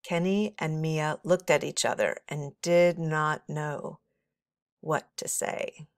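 A woman's voice: sits low, takes it slowly, dry recording, clean studio sound. A middle-aged woman reading a children's story aloud expressively, as a teacher would for young listeners.

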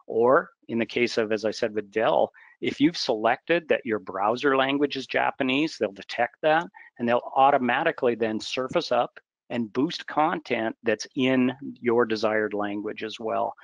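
A man's voice: mid-range voice, fairly bright tone, dry recording, dull audio. A man answering questions in a product webinar, explaining in a conversational way with fillers. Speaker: product demo presenter.

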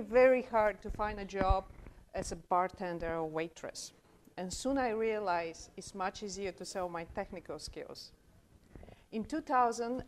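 A woman in her forties from Bulgaria with a Bulgarian accent, earnestly giving a conference talk at a podium mic in a small room. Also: mid-range voice. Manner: earnest, gestures with both hands. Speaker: conference speaker. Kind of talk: conference presentation.

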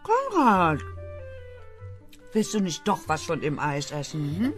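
An actress playing an eccentric, warm-hearted, scatterbrained mother in a children's radio play, exclamatory and doting. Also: low-pitched, huge pitch swings, takes it slowly, soft background music, full character voice. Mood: flustered, exasperated.

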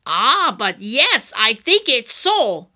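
Woman as tiny male leprechaun, storybook character, swooping delivery, sharp, excitable, emphatic. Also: high-pitched, measured pace, pitched above the performer's own voice, heavily acted. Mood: sharp, firm. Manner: exclamatory, scolding, emphatic.

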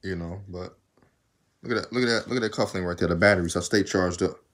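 Deep-voiced Southern man chatting casually. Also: fast, fairly bright tone. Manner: rambling, talkative, laughs often. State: arms tired while undoing braids. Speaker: vlogger. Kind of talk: casual chat to camera. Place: small room indoors. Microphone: phone camera at arm's length.

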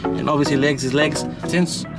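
A man's voice: on the high side, steady tone, normal speed. An Australian man in his twenties chatting casually as a fitness vlogger, laid-back and slangy, talking inside a car. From Sydney, Australia. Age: 20s. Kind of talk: casual vlog chat. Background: music.

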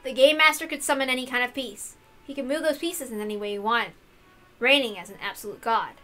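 A woman with a fairly high voice narrates a visual novel. Her reading is scripted and dramatic, with soft music playing behind it. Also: a little fast.

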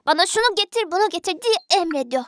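A performer voicing a sweet, childlike little girl, a younger sister who grumbles when slighted, in an animated children's cartoon. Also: very high voice, fast, dry recording, studio quality. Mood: grumbling.

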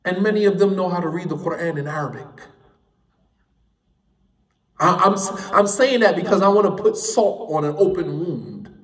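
A man's voice: high voice, animated, moderate pace, long pauses, fairly bright tone, reverberant, big-room sound, far from the mic. A man whose first language is English, teaching an Islamic studies class in an emphatic, impassioned way and repeating points for stress. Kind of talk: classroom lecture.

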